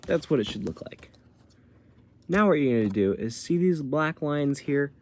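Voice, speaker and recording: A man's voice: a little high, expressive, normal speed, darkish tone, a little hiss or hum. A man giving a casual step-by-step how-to tutorial in an informal, colloquial way, explaining as he demonstrates. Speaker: tutorial presenter.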